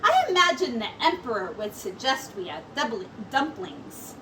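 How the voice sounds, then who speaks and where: A woman voicing excited storybook villagers, eagerly calling out in exclamations. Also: a little high, moderate pace. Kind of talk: storybook read-aloud. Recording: distant mic with clear background noise.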